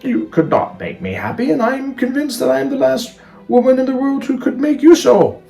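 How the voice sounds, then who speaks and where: A proud, spirited young lady of a storybook romance, put on by a male reader. The voice is lifted above his usual pitch, with swooping, emphatic and very formal delivery. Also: big pitch swings, a little fast, vocal fry, dark tone, faint music behind, heavily acted. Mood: indignant refusal.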